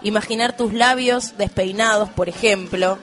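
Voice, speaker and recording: A woman's voice: medium pitch, steady tone, moderate pace, fairly bright tone. Argentine woman reading listener messages. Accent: Argentine Spanish. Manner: playful, self-mocking. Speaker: radio co-host reading messages. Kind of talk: casual radio chat, reading listener messages.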